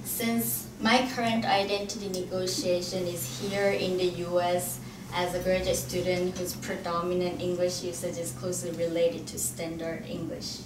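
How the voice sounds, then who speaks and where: A young woman from South Korea, a native Korean speaker with a Korean accent, giving a careful, halting academic presentation as a graduate student. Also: on the low side, flat delivery. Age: young adult. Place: indoor room at an academic forum. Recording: echoey, noisy room, distant mic.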